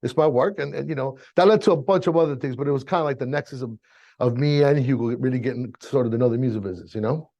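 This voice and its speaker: A middle-aged American man tells stories as an interview guest. He talks very quickly in a gravelly, creaky voice, with loose slang, through a slightly dull, lo-fi mic. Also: mid-range voice, warm tone. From Miami, raised there, born in Chile. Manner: slangy, informal, frequent "you know" and "bro". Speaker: interview guest, music engineer. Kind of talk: casual storytelling.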